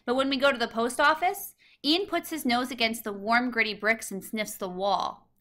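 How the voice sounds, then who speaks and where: A woman with a bright, fairly high voice reads a children's storybook aloud. Her narration is scripted and expressive, each phrase set out clearly for young listeners. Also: normal speed. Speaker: storybook reader.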